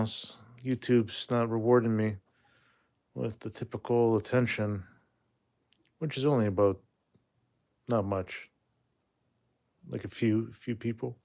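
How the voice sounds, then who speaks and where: A man's voice: mid-range voice, darkish tone. A man in his forties from Canada, chatting casually on a livestream, reading viewer comments aloud and rambling bluntly. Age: 40s. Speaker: livestream host.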